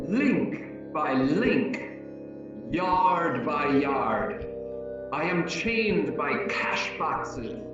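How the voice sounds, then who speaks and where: A man playing the anguished, stern ghost of a dead business partner, declaiming in slow, moaning, portentous tones in a theatrical reading. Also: on the high side. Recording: echoing, distant, muffled, music behind.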